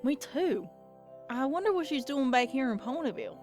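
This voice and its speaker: A woman's voice: high-pitched, animated, moderate pace, darkish tone, faint music behind. A woman voicing a plain-spoken, caring young farm pony, earnest and folksy, in a dramatic reading. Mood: curious. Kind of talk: dramatic reading of fan fiction.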